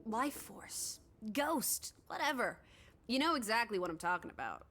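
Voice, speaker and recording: An actress playing an outspoken, empathetic young female companion in a video game, probing and expressive in manner. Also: on the high side, normal speed, a little hiss or hum. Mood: teasing, spooked.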